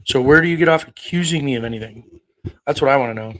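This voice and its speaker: A man's voice: mid-range voice, fast, fairly bright tone, rough recording. A man in his thirties preaching on a livestream, arguing with chat in a combative, profane way, firing rhetorical questions. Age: 30s. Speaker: online preacher. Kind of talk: livestream talk answering chat.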